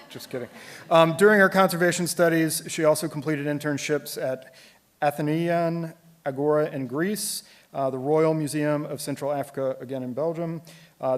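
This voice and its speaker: A man hosting a conference session, formally introducing a speaker with some informal ad-libbed remarks. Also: fairly high pitch.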